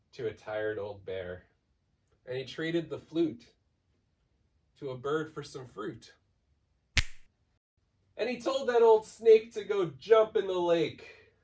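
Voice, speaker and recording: A man's voice: medium pitch, a little mellow, distant mic. A man giving a playful, theatrical read-aloud of a children's picture book, stretching key words and racing through piled-up lists. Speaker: school staff member reading a children's book aloud.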